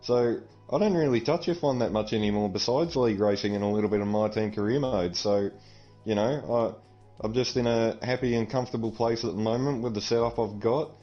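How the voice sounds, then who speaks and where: A male racer interviewed after his win, rambling earnestly in his own defense. His voice sits low and mellow, heard over a dull call line with faint music. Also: a little fast. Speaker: winning driver, interviewee. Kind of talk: post-race interview.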